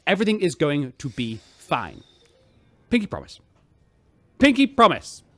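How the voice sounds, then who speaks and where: A flustered male starship captain for a sci-fi radio comedy-drama. His voice is very high and jumpy, close and dry. He stammers nervously while trying to reassure everyone. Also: big pitch swings, a little slow, fairly bright tone, dry recording, heavily acted. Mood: nervous reassurance.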